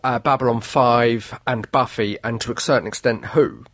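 A man's voice: medium pitch, fairly even intonation, normal speed, fairly bright tone, no room sound. A man discussing things casually and analytically as a podcast panellist.

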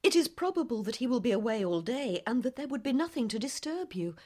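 A woman's voice: fairly high pitch, very animated, fast talker, darkish tone. An actress playing an anxious young woman seeking help in an audio drama, explaining things earnestly and carefully.

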